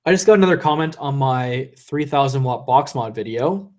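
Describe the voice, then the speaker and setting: A man's voice: medium pitch, expressive, moderate pace, darkish tone. Male streamer, mid-voiced, loose and profane, slightly drunk, home desk mic. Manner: rambling, loose and profane, reading chat aloud. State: tipsy from drinking. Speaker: livestream host. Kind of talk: casual live stream chat. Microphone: desk USB condenser mic.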